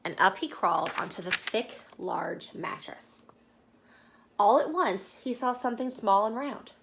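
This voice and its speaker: A woman reading a children's story aloud expressively, dramatic and dropping into hushed asides to the listener. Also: mid-range voice, animated, a little slow, creaky, noisy background. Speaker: storybook reader.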